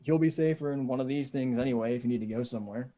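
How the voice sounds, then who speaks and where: A man's voice: mid-range voice, rapid-fire, mellow, close to an everyday voice. Man acting a plainspoken, decent sheriff's deputy. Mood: calm, reassuring. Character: decent, steady male small-town sheriff's deputy, survivor. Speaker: actor in an amateur table read. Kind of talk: dramatic script reading. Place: remote video call.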